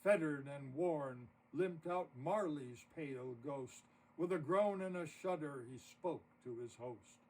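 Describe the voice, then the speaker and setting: A man narrating a rhymed story poem expressively, with dramatic, deliberate phrasing. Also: a little high, darkish tone. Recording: distant mic with audible noise.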